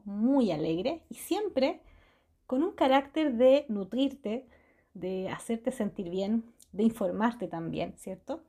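A woman's voice: mid-range voice, mellow. A Chilean woman hosting a radio program, talking in a cheerful, spiritual monologue that she fills with tag questions and laughter. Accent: Chilean Spanish. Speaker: radio host. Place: small house in a hillside forest.

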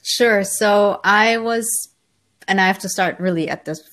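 A woman's voice: medium pitch, a little slow. Woman guest telling her spiritual story. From Germany. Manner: relaxed, thoughtful, many ums, light laughs. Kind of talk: conversational interview storytelling.

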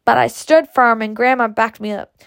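A woman's voice: fairly high pitch, steady tone, normal speed, vocal fry, fairly bright tone, no room sound, clean studio sound. A woman voicing a blunt, stubborn, boastful horse-breeding matron in a storybook, talking emphatically. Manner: emphatic, talkative.

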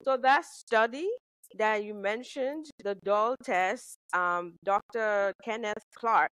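Measured female podcast co-host. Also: medium pitch, fairly bright tone, clear, resonant tone, dry recording, rough recording. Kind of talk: casual interview talk.

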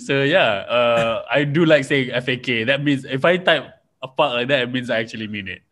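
Male podcast host, mid-range voice, relaxed conversational musing with easy swearing. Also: mid-range voice, normal speed. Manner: swears casually, muses aloud. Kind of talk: casual chat.

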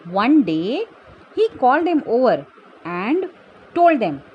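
A woman's voice: a little high, sing-song, takes it slowly, muffled recording, a little hiss or hum. A woman telling a children's story, sweetly encouraging and speaking straight to young listeners with little questions and asides. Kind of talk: bedtime story narration for kids.

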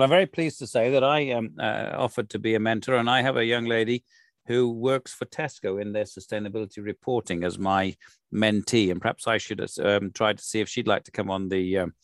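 A man's voice: on the low side, fairly even intonation, brisk, dry recording, studio quality. A man hosting an interview, genial and with frequent fillers. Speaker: podcast host. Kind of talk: conversational interview.

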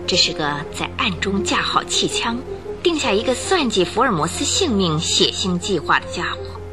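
A woman's voice: medium pitch, big pitch swings, normal speed, over music. A woman narrator telling a radio drama story from a script in a vivid, suspenseful, dramatic way.

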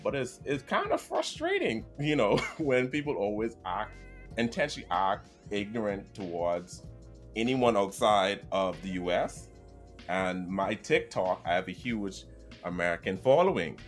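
A man from the Bahamas with a thick Bahamian accent, hosting a podcast in animated, laughing chat. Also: lowish voice, unhurried, faint music behind. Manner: animated, laughs while talking. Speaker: podcast host.

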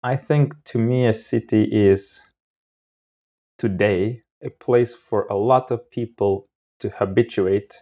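A man speaking casually as an interview guest, often searching for his words in halting phrases. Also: fairly low pitch, steady tone, mellow. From Moldova. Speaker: podcast guest.

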